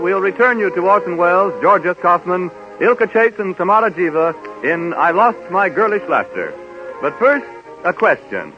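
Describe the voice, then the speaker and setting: A man working as a radio announcer, reading commercial copy in a polished 1930s style. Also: fairly high pitch. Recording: dull 1930s radio broadcast recording.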